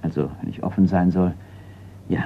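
A man's voice: sits low, steady tone, moderate pace, a little hiss or hum. An actor playing a polite, frank insurance man in a radio crime drama, courteous and slightly stiff. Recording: slightly muffled radio play recording.

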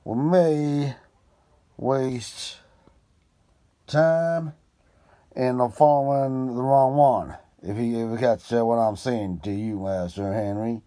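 A male detective, put on by a man reading aloud, speaking slowly and haltingly with French asides. His words come hushed and unhurried, breaking often before he goes on. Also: medium pitch, steady tone, natural voice. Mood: earnest. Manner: halting, sprinkled with French fillers.

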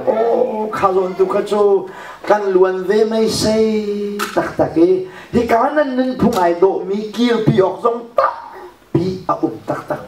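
A middle-aged man preaching a sermon into a handheld mic in a church hall, animated and often laughing. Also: sits high, a little hiss or hum. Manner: animated, gesturing with one hand, often laughing. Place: church hall with a projection screen. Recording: echoey hall, recorded from far off.

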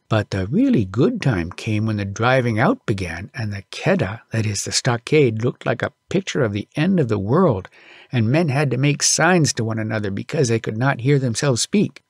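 A man narrating an audiobook as an expressive storyteller. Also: mid-range voice, moderate pace, gravelly, breathy edge, no echo, clean studio sound.

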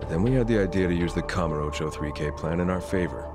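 A man playing a stern, formal yakuza clan chairman in a video game, speaking gravely and deliberately. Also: low-pitched, over loud music, natural voice. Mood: grave, explanatory. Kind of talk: video game cutscene acting.

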